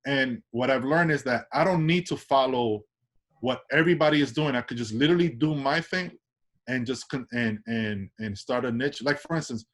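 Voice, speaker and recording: A man with a New York accent talks through an interview in an easy, rambling way. His voice sits at an ordinary pitch, and he keeps checking in with tag questions and starting sentences over. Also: normal speed, a bit bright. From Washington Heights, New York. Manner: loose, tag questions, many restarts. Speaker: artist guest. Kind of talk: casual interview chat.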